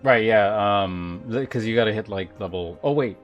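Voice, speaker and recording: A man livestreaming a video game, chatting casually and reading aloud, thinking out loud in a rambling way with wry asides. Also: on the low side, normal speed, highs cut off. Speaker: game streamer. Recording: music behind the voice.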